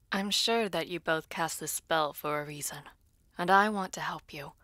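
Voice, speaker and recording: Woman playing a slow, coy, mysterious feminine entity. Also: deep voice, pushed and bright, no room sound, clean studio sound, full character voice. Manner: slow, composed, formal, coy. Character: mysterious poised female alter-being within Serena, teasing, secretive. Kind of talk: audio roleplay acting, addressing the listener.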